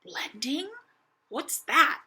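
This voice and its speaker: A woman's voice: sits high, big pitch swings, drawn-out words, pushed and bright, voice pushed up, heavily acted. A woman voicing a bold, curious young girl doll in a children's story, with an eager, wide-eyed manner. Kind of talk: children's audiobook character voice.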